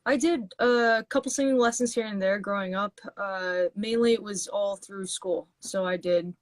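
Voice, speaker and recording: A woman's voice: mid-range voice, normal speed, no room sound. A woman musician, chatting on a video call as an interview guest, talking in loose, rambling sentences with many restarts. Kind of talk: casual interview chat.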